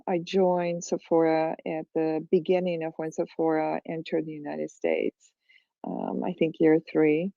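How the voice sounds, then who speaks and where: Low, gravelly woman with a dark voice. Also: no room sound. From Peru. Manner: reflective, unhurried, with many fillers and restarts. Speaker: guest, beauty brand founder. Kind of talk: conversational interview on a live audio chat. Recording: dull lo-fi online audio call.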